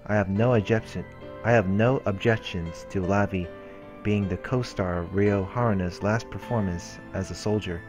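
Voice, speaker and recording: Lowish-voiced man reading game narration. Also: steady tone, normal speed, over music. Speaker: let's play host.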